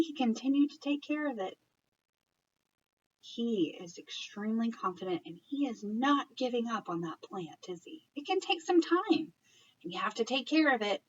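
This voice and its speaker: A woman reading a picture book aloud as an educator, talking to children and parents in an expressive, encouraging, questioning way. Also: on the high side, far from the mic, highs cut off, a little hiss or hum.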